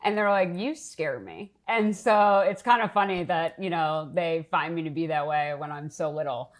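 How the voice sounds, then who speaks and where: A woman's voice: deep voice, normal speed. A middle-aged woman from the Boston area, a nonprofit leader talking candidly and with humor in an interview. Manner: candid, self-deprecating, upbeat. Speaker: nonprofit CEO, guest. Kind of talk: casual interview talk.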